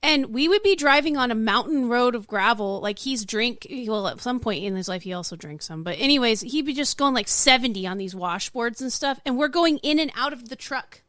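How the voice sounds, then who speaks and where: A woman's voice: on the high side, animated, brisk, few pauses, fairly bright tone, dry recording, studio quality. A woman co-hosting a podcast, telling stories informally and playfully in casual group chat. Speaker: podcast co-host.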